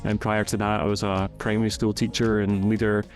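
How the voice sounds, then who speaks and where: A man in his forties with a Glaswegian Scottish accent, an education professor explaining his career in a measured, articulate way as a podcast guest. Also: lowish voice, little pitch movement, faint music behind. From Scotland. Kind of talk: podcast interview, reflective explanation.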